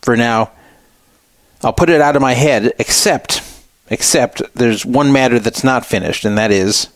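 A man's voice: medium pitch, a little slow, no echo. A middle-aged American man hosting a talk show, telling personal stories in a casual, rambling, conversational way with emphatic repetition. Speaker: radio show host.

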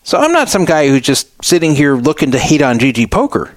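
A middle-aged man hosting a talk radio show, giving opinionated solo commentary with emphatic, deliberate phrasing and repetition for emphasis. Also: fairly high pitch, sing-song, no echo.

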